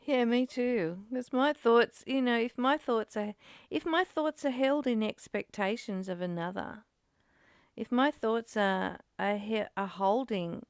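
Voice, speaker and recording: Earnest woman with a creaky, dry-sounding voice. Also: on the high side, unhurried, no echo. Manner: earnest, repeats phrases as she thinks aloud. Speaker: study group host reading and commenting. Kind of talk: reflective spiritual discussion, partly read aloud.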